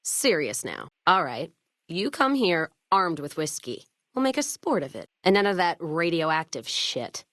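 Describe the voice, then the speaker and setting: A woman playing a hard-drinking, sharp-tongued American caravan owner in a post-apocalyptic western game, with blunt, sardonic, folksy profanity. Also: sits low, dry recording, studio quality. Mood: challenging, firm. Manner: blunt, sardonic, profane frontier drawl with folksy turns of phrase. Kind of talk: video game character dialogue.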